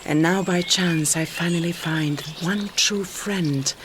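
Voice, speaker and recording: A seductive vampire noblewoman in a gothic audio drama, speaking very slowly in a lowish voice. She murmurs tenderly and possessively, with languid intensity, over clearly noisy ambience.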